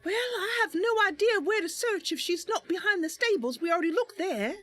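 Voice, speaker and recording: A woman's voice: very high-pitched, expressive, brisk, a bit bright, dry recording, faint music behind, pitched above the performer's own voice. A woman voicing an earnest, chatty young female wood elf knight in a fantasy game roleplay, with a cheerful, artless manner.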